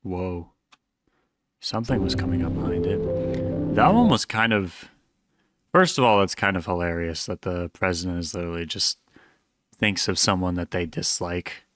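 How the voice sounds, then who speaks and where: Low-voiced man reacting casually, music behind. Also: normal speed, pauses often. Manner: wry, joking asides. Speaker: reaction video host.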